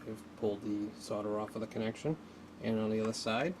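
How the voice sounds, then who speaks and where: A man giving an unscripted hands-on tutorial, speaking haltingly as he thinks aloud while working, as a hobbyist would. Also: on the low side, fairly even intonation. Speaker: hobbyist demonstrating an electronics repair. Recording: noticeable background noise.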